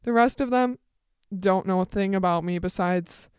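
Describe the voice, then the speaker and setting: A teenage girl chats through a rambling story on her solo podcast, full of side comments. Her voice is smooth and clear with a slightly deep tone, recorded close and dry with no room sound. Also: moderate pace, no room sound. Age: teens. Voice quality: fairly deep voice for a woman. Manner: rambling, chatty, full of asides. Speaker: podcast host.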